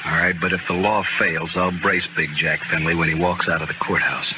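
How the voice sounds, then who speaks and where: A dull, hissy vintage radio recording of a man playing a vengeful frontier gunman. His voice is deep and grim, his lines clipped and bitterly insistent, in old western drama style. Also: little pitch movement, fairly quick, lightly acted. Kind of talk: 1950s radio western acting. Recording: muffled, noisy old radio broadcast.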